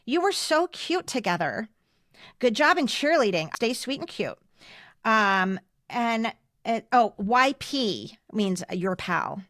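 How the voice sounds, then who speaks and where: A woman's voice: fairly high pitch, lively intonation, slow, a bit bright, no echo, clean studio sound. An American woman in her fifties chatting casually as a podcast host, reading aloud and explaining things in asides. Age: 50s. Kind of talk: casual chat, reading old yearbook messages aloud.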